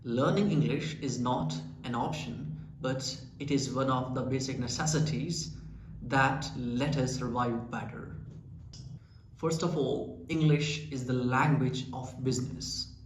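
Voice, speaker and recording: A man's voice: mid-range voice, unhurried. A man with a Pakistani accent teaching an English lesson, explaining earnestly and asking rhetorical questions. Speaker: English teacher and channel founder. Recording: echoey room with faint ambient noise.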